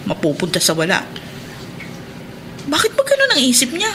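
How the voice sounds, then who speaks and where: Bitter suspicious aunt, high bright voice, scolding, Filipino radio drama, slight background noise. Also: female voice, wildly swooping pitch, normal speed, full character voice. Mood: resentful, irritated. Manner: scolding, sharp, exasperated. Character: bitter, suspicious aunt and resentful wife, short-tempered.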